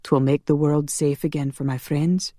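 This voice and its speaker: A woman's voice: low voice, fairly even intonation, normal speed, mellow, dry recording, clean studio sound, lightly acted. A woman voicing a stoic, lovelorn and resolute man with old-fashioned wording in an audiobook, speaking in a subdued manner. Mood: resigned, determined.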